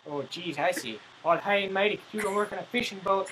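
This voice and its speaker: A young man joking casually as co-host of a gaming video, excitable and exclamatory, often playfully scolding. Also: high voice, a little fast, slight background noise, rough recording. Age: young adult.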